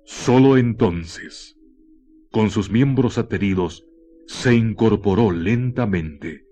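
A man narrating a scripted radio horror drama in a deliberate, suspenseful manner with weighted pauses. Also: lowish voice, warm tone. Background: faint music.

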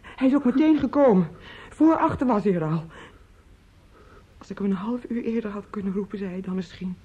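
An actress in a Dutch radio play playing an anguished, talkative female relative who breaks terrible news, theatrical, halting and pleading. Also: medium pitch, husky, natural voice. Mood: grave, distressed. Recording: muffled, noisy old radio play recording.

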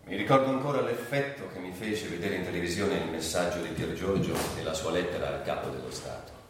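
A man's voice: low voice, brisk. A man performing a dramatic literary monologue with a theatrical, brooding delivery. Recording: very echoey room, distant, faint noise.

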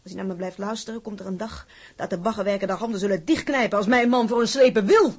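An actress playing a feisty, proud working-class wife in a radio drama, fiercely loyal, blunt and sardonic. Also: female voice, mid-range voice, quick pace, breathy edge. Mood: proud, defiant.

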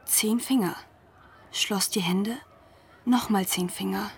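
A woman playing a school pupil who narrates a literary radio drama in a hushed, deliberate way. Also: mid-range voice, steady tone, vocal fry. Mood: hushed, observant. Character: former school pupil recounting events as narrator.

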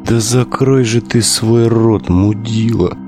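An actor playing a hard, domineering gang tough, curt, crude and sardonic, in an audio drama. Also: male voice, fairly low pitch, fairly even intonation, takes it slowly, over music.

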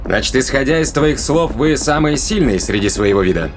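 A man's voice: medium pitch, lively intonation, normal speed, slight background noise, close to an everyday voice. An actor playing a blunt, impatient male interrogator in an audio drama, probing and demanding in colloquial speech. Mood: probing, curious.

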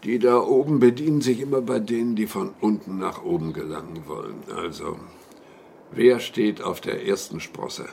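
Low, gravelly, wry secretive insider. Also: male voice, normal speed, a little mellow, slight background noise. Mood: shrewd, confiding. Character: wry, self-satisfied male insider, shrewd secretive informant. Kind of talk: German radio crime drama acting.

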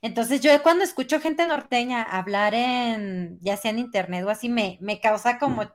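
A woman from southern Mexico with a southern Mexican Spanish accent, co-hosting a casual podcast chat in a relaxed, hesitant way. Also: fairly high pitch, normal speed. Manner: relaxed, hesitant, restarts phrases. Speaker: podcast co-host.

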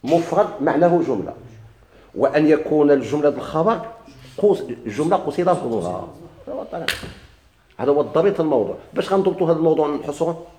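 A man with a Moroccan Arabic accent teaching Arabic grammar. He explains slowly, repeats and stretches key words, and puts questions to his students. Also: on the high side, expressive, darkish tone. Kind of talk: recorded grammar lesson. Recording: echoey classroom recording.

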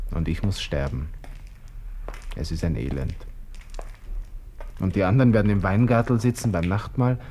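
Deep, brooding young Viennese lieutenant. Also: male voice, warm tone. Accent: Austrian, Viennese. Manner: musing to himself, murmured, wry. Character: melancholy, cynical young Austrian army lieutenant facing death. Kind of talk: 1960s radio play interior monologue. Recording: hissy old radio play recording.

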